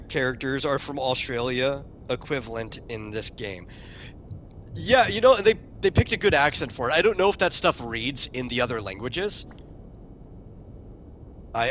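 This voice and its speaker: A man's voice: medium pitch, moderate pace, a bit bright, slight background noise. A man streaming a game, chatting casually and answering his chat, often repeating a word as he starts a sentence. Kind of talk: casual commentary while playing a game.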